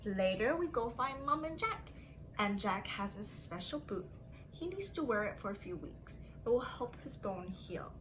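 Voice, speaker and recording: A woman reading and teaching for young children as a story-time host, in simple, drawn-out phrases addressed to the kids, on a noisy recording. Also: on the high side, big pitch swings. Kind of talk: read-aloud narration and playful teaching to young children.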